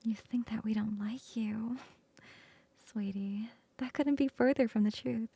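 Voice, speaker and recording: A woman voicing a flirty female coworker in an ASMR roleplay, close to the mic in a soft, playful whisper. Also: on the high side, fairly even intonation, measured pace, gravelly, husky, no room sound, heavily acted. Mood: teasing whisper.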